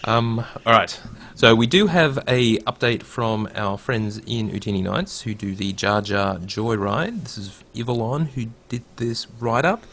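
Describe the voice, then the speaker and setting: A man's voice: lowish voice, a little slow, few pauses, fairly bright tone. A man hosting a casual gaming podcast who runs through news and reads a write-up aloud. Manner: reads a write-up aloud in a mock movie-trailer voice. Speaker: podcast host.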